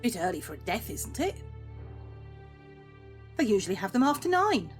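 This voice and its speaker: Woman playing critical telly viewer, lively intonation. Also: medium pitch, normal speed, soft background music, natural voice. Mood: sceptical. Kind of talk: full-cast audio drama acting.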